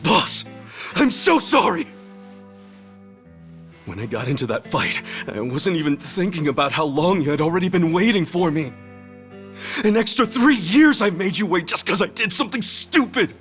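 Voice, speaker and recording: Loyal hot-headed yakuza: highish, forceful voice, emotional, rough street talk, video game drama. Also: male voice, expressive, moderate pace, soft background music. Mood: anguished, remorseful.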